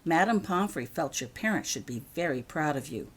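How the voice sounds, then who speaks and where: A woman voicing a calm, kindly grown man in a quiet, conversational way for a story. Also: deep voice, normal speed, husky. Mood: calm, reassuring.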